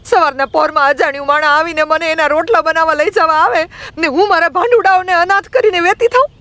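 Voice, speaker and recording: A woman voicing a fierce, tearful thirteen-year-old village girl in an audiobook drama, with a rural Gujarati accent and loud, indignant questioning. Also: extremely high pitch, dry recording. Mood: indignant, pleading. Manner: loud, indignant, rapid-fire rhetorical questions.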